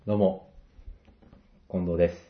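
A man's voice: low-pitched, little pitch movement, moderate pace. A man chatting casually as an interview guest, self-deprecating and joking, with a hesitant way of explaining himself. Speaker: guest, stage director and actor. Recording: roomy, dull lo-fi audio.

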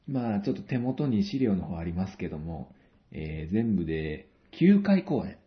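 Guy hosting a jokey chat show, lowish voice, playful polite banter, kinda muffled lo-fi sound. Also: sing-song, moderate pace. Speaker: host interviewing a guest. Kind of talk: joking radio chat. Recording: dull lo-fi audio.

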